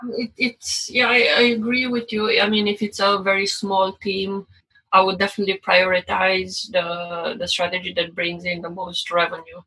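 A woman's voice: mid-range voice, little pitch movement, moderate pace, pushed and bright, husky, rough recording. A woman from Romania with a Romanian accent, a guest speaking casually in an interview, hesitant with many fillers and restarts.

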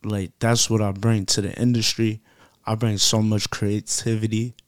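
A man's voice: lowish voice, flat delivery, normal speed, clear, resonant tone, no echo, studio quality. A man from the United States chatting casually in slang as an interviewed musician, emphatic and self-assured, often repeating himself for weight.

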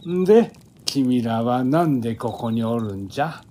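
A man's voice: medium pitch, animated, moderate pace, warm tone, a little hiss or hum, natural voice. An actor playing a blunt, folksy old man who patrols a forest, speaking rustic Japanese old-man dialect in a radio drama. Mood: easygoing. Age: older. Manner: blunt, folksy, elderly turns of phrase.

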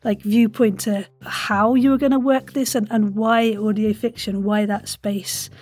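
A woman hosting a podcast interview in an excited, playful and gushing way. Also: mid-range voice, measured pace, breathy edge.

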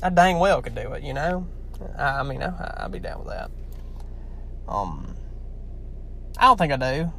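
A man's voice: mid-range voice, sing-song, a little slow, gravelly, pauses often, pushed and bright, a little hiss or hum. A young man from Louisiana with a heavy Southern accent, chatting casually and rambling to his viewers. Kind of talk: casual talk to camera.

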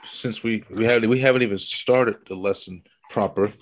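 A man hosting a casual Bible lesson over a video call, his voice raspy from illness, explaining things slowly and teasing his partner. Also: on the low side, steady tone.

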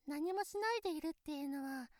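Woman acting a very high-voiced shy schoolgirl girlfriend. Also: dry recording, studio quality, natural voice. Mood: soft, content. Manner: gentle, hesitant, stammering when embarrassed, drawn-out delivery. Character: shy, devoted teenage schoolgirl girlfriend, soft and easily flustered. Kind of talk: Japanese situation voice drama, intimate bedside roleplay for relaxation.

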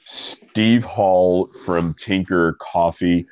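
A man's voice: deep voice, fairly even intonation, very slow, darkish tone, smooth, no room sound, muffled recording. A man with a stuffy nose hosting a casual interview, rambling with self-deprecating asides. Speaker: podcast host and coffee reviewer.

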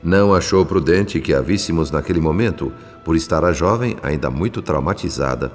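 Deep Brazilian male narrator in long reverb. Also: lively intonation, fairly quick. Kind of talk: scripted dramatic radio drama narration. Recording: long reverb, music bed behind.

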